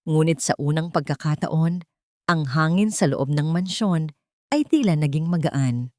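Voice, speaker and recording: Female storyteller for dramatic audio fiction. Low register, close dry recording. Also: lively intonation, a little slow, warm tone, no room sound. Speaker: narrator. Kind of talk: scripted dramatic story narration.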